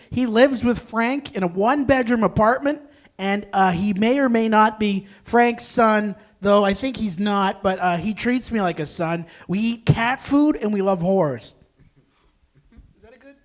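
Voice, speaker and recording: A man's voice: high-pitched, unhurried. A man chatting casually and jokingly as a member of a table read cast. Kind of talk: casual comic chat.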